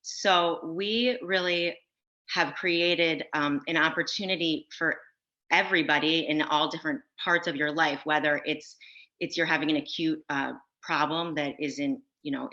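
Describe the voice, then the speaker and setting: A woman on a video-call panel, answering in a halting, casual way with many restarts and fillers. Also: low voice, bright, forceful tone. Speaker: brand founder on a panel. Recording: dull lo-fi audio.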